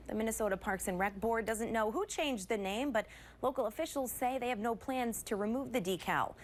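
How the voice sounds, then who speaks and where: A woman's voice: mid-range voice, a little hiss or hum. A woman with an American accent reading scripted television news as an anchor. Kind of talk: scripted news broadcast narration.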